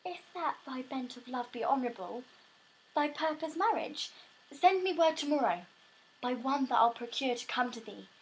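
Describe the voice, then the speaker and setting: A woman's voice: sits high, expressive, normal speed, rough recording. A woman acting a young, lovestruck, earnest and anxious maiden in a Shakespearean audio drama, with theatrical verse delivery, recorded at home on a phone. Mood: urgent, devoted.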